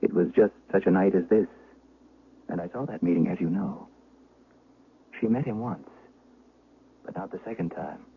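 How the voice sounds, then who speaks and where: An actor playing a grave, conscience-stricken older servant of a Duke, speaking formally and solemnly in a radio drama. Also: male voice, low-pitched, moderate pace, creaky, pauses often, slightly rough, heavily acted. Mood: solemn, troubled. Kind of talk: 1970s radio drama acting and dramatic first-person narration. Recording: tinny, noisy old radio broadcast.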